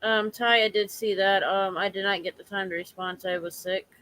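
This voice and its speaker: A woman whose voice is going hoarse from allergies chats on a casual home live stream. She speaks in a halting, earnest way, circling back and repeating her points as she talks to viewers. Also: mid-range voice, steady tone, a bit bright.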